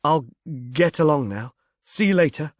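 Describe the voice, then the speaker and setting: A man voicing a shy, bewildered young man with a halting, slightly stammering delivery in a mystery audiobook. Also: a little high, lively intonation, no echo, clean studio sound, natural voice. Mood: self-conscious. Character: bashful, bewildered young man, haplessly miserable. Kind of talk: audiobook character voice, British mystery novel.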